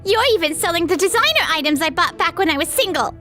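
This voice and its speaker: A woman playing a spoiled, resentful wife in a comic dub, sharp and complaining. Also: very high-pitched, animated, fast, faint music behind, heavily acted. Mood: resentful nagging. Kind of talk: anime-style comic dub acting.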